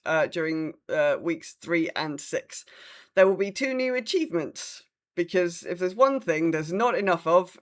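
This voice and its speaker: British woman, wry casual podcast talk. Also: mid-range voice. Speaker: podcast co-host. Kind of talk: casual gaming podcast chat.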